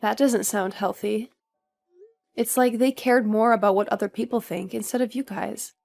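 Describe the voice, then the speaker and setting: Higher-voiced young wife played by an actress, patient and polite. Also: female voice, steady tone, moderate pace, vocal fry, darkish tone, soft background music. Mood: sympathetic. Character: patient young newlywed wife, tired but dutiful. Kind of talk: scripted dialogue, audio story drama.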